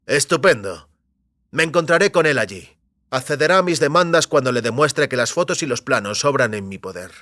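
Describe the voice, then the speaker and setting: Un actor interpreta a un extécnico fugitivo, decidido y calculador, en una audioficción, con habla segura y pausada. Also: male voice, medium pitch, animated, pushed and bright, no echo, studio quality. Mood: resolute. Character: Jennings, determined scheming former technician on the run. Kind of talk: science fiction audio drama dialogue.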